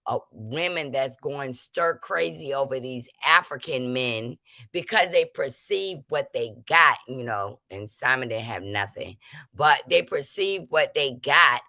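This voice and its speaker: A woman host with a very low, gravelly voice that still cuts through with a bright, forceful tone. She delivers commentary emphatically and lectures a little, in a dry, close recording. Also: dry recording. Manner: emphatic, preachy warnings. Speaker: show host. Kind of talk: casual commentary chat.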